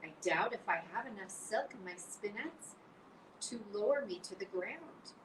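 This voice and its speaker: A woman reading a calm, low-voiced spider lady. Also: a little slow, close to an everyday voice. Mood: gentle. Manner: low, soothing, firm. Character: calm, wise, dying female spider. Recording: roomy, distant mic, faint hiss.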